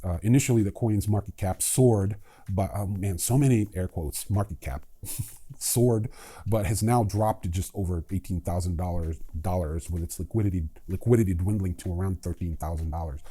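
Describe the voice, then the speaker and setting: Low-voiced, husky man delivering sardonic news. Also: moderate pace, dark tone. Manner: sardonic, irreverent, swearing. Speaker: host of a crypto news show. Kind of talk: news commentary with casual asides.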